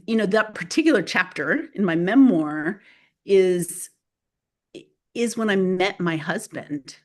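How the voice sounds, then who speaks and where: A woman whose first language is Spanish, a guest author talking loosely in an interview with many fillers and restarts. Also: fairly low pitch. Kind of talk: casual spoken interview.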